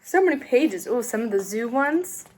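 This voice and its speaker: A woman reading a children's storybook aloud in an expressive, sing-song way as host of a read-aloud channel. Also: a little high, moderate pace. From United States.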